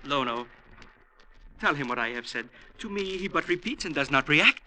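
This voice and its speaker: A man's voice: a little high, expressive, normal speed, bright, forceful tone, a little hiss or hum, low-quality recording. A man playing a grasping, conniving village mayor in an old radio drama, with urgent, stagy formal phrasing. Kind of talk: 1950s radio drama acting.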